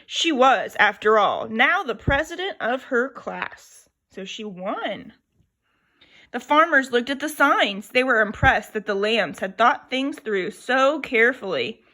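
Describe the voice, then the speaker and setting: A woman reading a children's picture book aloud, her voice sitting a little higher than most. She tells the story in an expressive, lively way. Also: measured pace.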